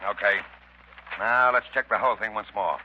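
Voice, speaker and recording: An actor playing a bossy, impatient gang leader in a 1930s radio drama, curt and commanding. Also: male voice, lowish voice, steady tone, normal speed, a little hiss or hum, lightly acted. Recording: dull 1930s radio recording, music behind.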